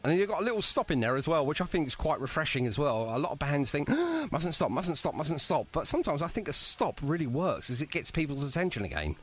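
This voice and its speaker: A fairly high-voiced man hosting a music podcast. His pitch moves around in a very lively way as he chats casually with guests, often in a self-deprecating manner. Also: fast talker, hardly any pauses, slightly rough. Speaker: podcast host interviewing a band. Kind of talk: casual music interview chat.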